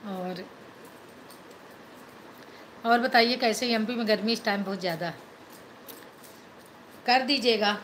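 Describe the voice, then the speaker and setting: Bright, chatty middle-aged woman live on her phone. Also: medium pitch, takes it slowly, pauses often, slight background noise, low-quality recording. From Madhya Pradesh, India. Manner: chatty, thanks viewers over and over, mixes English into Hindi. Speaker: live-stream host. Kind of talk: casual live-stream chat, reading and answering comments. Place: small room indoors. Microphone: phone held at arm's length.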